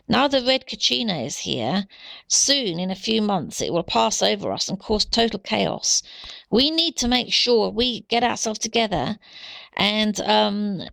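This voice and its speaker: A woman giving an unscripted, earnest monologue of exhortation, halting and repeating herself as she goes. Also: medium pitch, lively intonation, vocal fry, a bit bright, no room sound.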